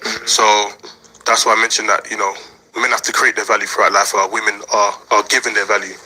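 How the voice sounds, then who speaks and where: Brief: twenties male co-host from Nigeria, deep and husky, bright edge, measured delivery. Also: flat delivery, rough recording. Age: 20s. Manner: measured, hesitant, with fillers. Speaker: podcast co-host. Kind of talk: casual panel discussion.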